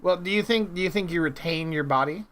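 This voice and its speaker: A man's voice: on the high side, quick pace, a bit bright. A man in his thirties chatting casually and teasingly, asking probing questions like an interviewer. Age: 30s. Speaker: prank caller and interviewer. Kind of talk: casual teasing phone chat.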